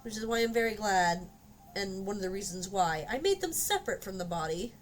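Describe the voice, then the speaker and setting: A woman talks casually to her stream viewers while she works on a sculpture, her voice stuffy and congested from a cold. She muses aloud and lets sentences trail off with fillers. Faint music plays quietly behind her. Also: mid-range voice, expressive, fairly quick. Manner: chatty and musing, trailing off with fillers. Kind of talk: casual live-stream chat while working.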